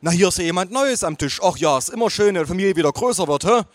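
A man's voice: high voice, lively intonation, rapid-fire, no room sound, voice pushed up. A man voicing a sentimental, oblivious waitress in a story reading, making chirpy small talk.

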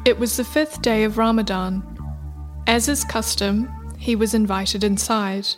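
A woman's voice: medium pitch, unhurried. A woman narrator reading a scripted radio play in a storybook style. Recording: faint music under narration.

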